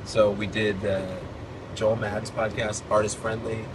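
A man in his forties hosting a casual podcast from inside a moving car, talking in a relaxed, upbeat way. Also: lowish voice, a little slow. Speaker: podcast host and band frontman. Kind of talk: casual chat. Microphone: phone at arm's length. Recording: loud road noise, slightly distant phone mic. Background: road and engine noise.

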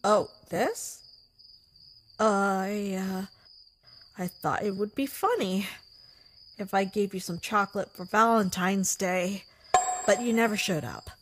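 A young woman voicing a sweet, emotional human girlfriend figure in a comic dub, expressive and earnest. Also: mid-range voice, slow pace, pauses often. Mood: sheepish. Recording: music behind the voice.

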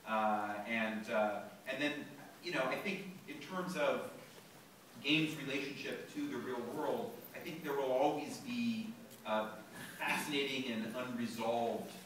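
A man's voice: mid-range voice, measured pace, a bit bright, a little hiss or hum. A man on a panel answering thoughtfully, with many fillers and restarts, recorded from the audience of a large lecture hall. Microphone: phone recording from the audience. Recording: echoey hall from far off.

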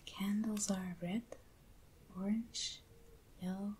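A woman speaking in a hushed, breathy whisper, gentle and unhurried, as the host of an ASMR unboxing video. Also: mid-range voice, fairly even intonation, a little hiss or hum.

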